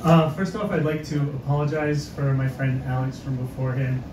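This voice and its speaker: A man's voice: mid-range voice, steady tone, moderate pace, background noise. A man from the area doing a stand-up comedy set, wry and self-mocking, with lots of hesitant fillers. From Boston area, USA. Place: comedy club stage. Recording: echoey club audio from a distance.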